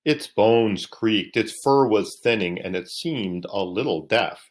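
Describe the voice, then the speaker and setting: Low-voiced man reading a bedtime story. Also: slow pace. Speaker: storybook reader.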